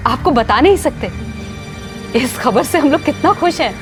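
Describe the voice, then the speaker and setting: Casting: upbeat, teasing family woman in a TV serial, high voice, strong music bed. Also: expressive, normal speed. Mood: happy, chatty. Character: cheerful, excitable woman of the family, affectionately teasing. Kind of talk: Hindi TV crime serial acting. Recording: dramatic background music.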